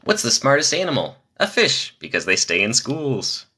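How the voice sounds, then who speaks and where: Young man, live-stream joke marathon, bright lively voice, low from the diaphragm. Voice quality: speaking low from the diaphragm to spare his nasal voice. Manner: rapid-fire joke delivery, lively.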